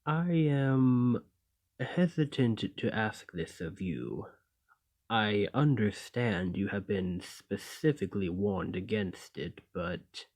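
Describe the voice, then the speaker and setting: A man playing an imprisoned clay golem in an ASMR roleplay, polite and learned, speaking in formal, deliberate phrases. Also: on the low side, a little mellow, exaggerated voice. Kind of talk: scripted ASMR roleplay acting.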